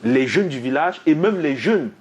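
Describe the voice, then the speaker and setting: A man from Cameroon, co-hosting a radio debate in a measured way with frequent tag questions. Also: fairly high pitch, expressive, a little mellow, muffled recording. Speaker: radio show co-host.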